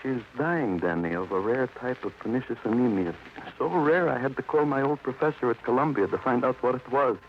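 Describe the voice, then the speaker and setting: An actor playing a kindly, talkative, wry doctor in a vintage radio drama, folksy and avuncular. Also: male voice, medium pitch, fairly quick, few pauses, natural voice. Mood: grave, wry. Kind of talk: 1950s radio drama acting. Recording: muffled, hissy vintage radio recording.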